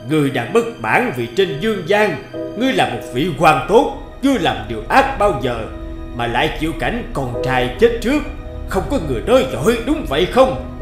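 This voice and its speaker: A supernatural judge of the dead for an animated tale. He is stern and imposing, handing down accusations with full authority. Faint music plays behind him. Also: a little high, normal speed, echoey room. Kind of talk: animated cartoon dialogue.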